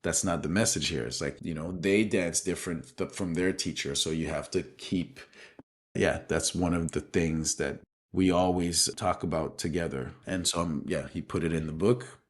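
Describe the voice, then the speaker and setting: A man from Benin speaking reflectively in an interview as a guest, thoughtful and halting, often adding "you know". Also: low voice, fairly even intonation. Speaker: guest, street dancer and choreographer.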